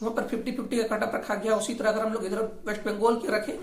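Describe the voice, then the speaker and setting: Female political commentator, voice on the high side, argumentative and critical, informal delivery, strong room echo. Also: level tone, fast. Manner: critical, argumentative, repeats points. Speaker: host of a regional affairs channel. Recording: echoey, roomy voice recording.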